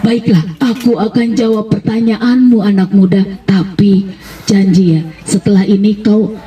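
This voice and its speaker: A woman voicing a frail, blind, pious old man in a live children's story, speaking haltingly and with gratitude. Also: medium pitch, steady tone, dark tone, recorded from a distance, close to an everyday voice. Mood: pleading. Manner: halting, exclamatory, addressing listener as young man.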